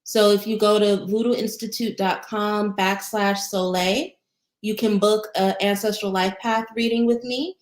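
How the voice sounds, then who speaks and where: A woman's voice: mid-range voice, fairly even intonation. Soothing woman in a roomy space. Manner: gentle, encouraging, unhurried. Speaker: meditation teacher. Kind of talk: casual livestream Q&A. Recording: roomy echo.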